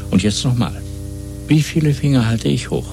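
An actor playing a cold, patient, fanatical party interrogator in a 1970s German radio play, lecturing in a measured way between soft murmurs and emphatic questions. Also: male voice, medium pitch, expressive, creaky, soft background music. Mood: stern, insistent.

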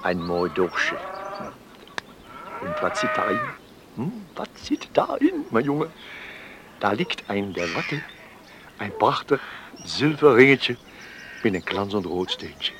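An actor playing a jovial, wheedling pedlar in a radio play, chuckling and repeating himself with a German accent. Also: male voice, on the low side, wildly swooping pitch, slow pace, pauses often, very noisy, heavily acted. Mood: coaxing. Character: jovial wheedling foreign pedlar with a cabinet on his back.

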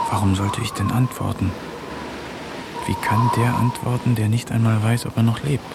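A man's voice: on the low side, background noise. A man narrating a radio drama in the first person, wry and brooding, like a diary. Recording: studio radio play with loud music.

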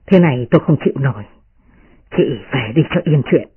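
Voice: mid-range voice, animated, brisk, slightly rough, phone-quality sound, pitched below the performer's own voice. A performer voicing an impulsive young master of the household, petulant and insistent, in a storybook reading. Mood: exasperated.

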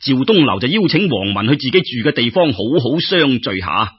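A man telling folk tales as an expressive storyteller, in a conversational way that addresses listeners directly. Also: medium pitch, brisk, breathy edge, lo-fi sound.

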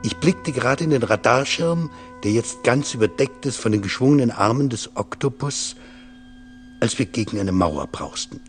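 A man's voice: medium pitch, animated, normal speed, faint music behind. A man giving a dramatic reading of literary prose as a narrator. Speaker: reader of literary texts.

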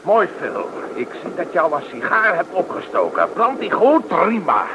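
A man's voice: sits high, big pitch swings, normal speed. An actor playing a hot-tempered, threatening gunman who barks his orders in an old radio play. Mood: menacing. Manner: menacing, barking. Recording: noisy old radio drama recording.

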